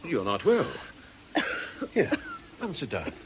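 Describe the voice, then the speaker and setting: A man's voice: a little high, wildly swooping pitch, slow, a little hiss or hum, full character voice. A man playing a concerned, probing middle-aged old friend in a radio drama, earnest and questioning.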